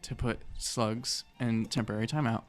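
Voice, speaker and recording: A man's voice: mid-range voice, fairly even intonation, moderate pace, faint music behind. A man playing a tabletop game, speaking casually and jokily in character.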